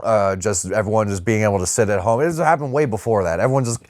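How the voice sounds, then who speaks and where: A man's voice: fairly low pitch, quick pace, no room sound, clean studio sound. A man co-hosting a podcast, riffing in casual, irreverent, sweary banter. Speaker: podcast co-host.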